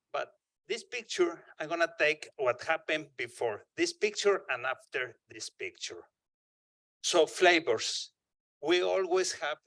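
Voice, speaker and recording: A man from Mexico with a Mexican accent, giving a jokey, animated conference talk. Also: on the high side, dry recording, clean studio sound. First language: Spanish. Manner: animated, jokey, with bursts of emphasis. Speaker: conference speaker. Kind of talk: humorous keynote talk. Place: conference hall podium.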